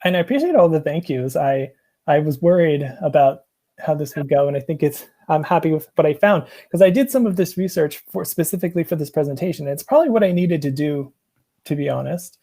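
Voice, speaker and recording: A man's voice: fairly high pitch, expressive, a little fast, mellow. A young American man presenting over a video call from a small room at home, rambling with many ums and restarts. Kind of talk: casual video call chat. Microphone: earbuds on a video call.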